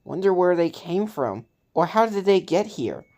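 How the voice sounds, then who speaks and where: A woman hosting a reaction video, giving casual commentary in short, halting phrases. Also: on the low side, big pitch swings, mellow.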